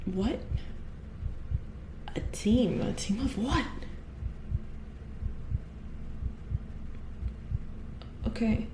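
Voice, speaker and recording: A woman playing an uneasy robot repair engineer in a soft-spoken horror ASMR roleplay, hesitant and stammering, often dropping to a whisper. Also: fairly high pitch, expressive, slow. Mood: flustered, compliant. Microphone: close ASMR microphone. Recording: roomy echo, light background noise.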